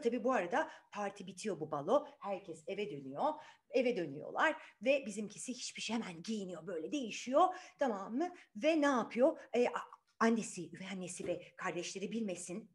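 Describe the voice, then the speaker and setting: A woman telling a fairy tale to children in an animated, informal, conversational way, often putting questions to her listeners. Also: fairly high pitch. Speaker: children's storyteller.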